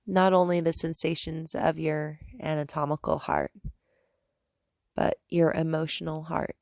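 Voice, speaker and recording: A woman guiding a meditation as a yoga teacher, speaking in a calm, soothing manner with gentle instructions and long pauses. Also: low voice, vocal fry, no echo, studio quality. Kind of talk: guided meditation.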